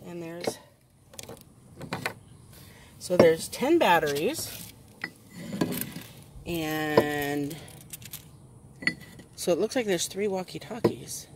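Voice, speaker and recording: A woman's voice: fairly low pitch, expressive, very slow, long pauses. A woman giving a casual unboxing review outdoors, talking into a handheld camera and piecing her explanations together as she goes. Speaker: toy review channel host, mother. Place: outdoors on a wooden step beside a house. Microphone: handheld phone or camera close by. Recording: noisy handheld outdoor recording.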